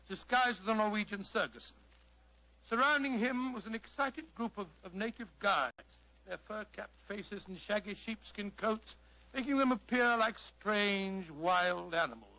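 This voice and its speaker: Bright-voiced elderly English storyteller, Doctor Watson. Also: male voice, high-pitched. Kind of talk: 1940s radio drama narration. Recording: dull vintage radio recording.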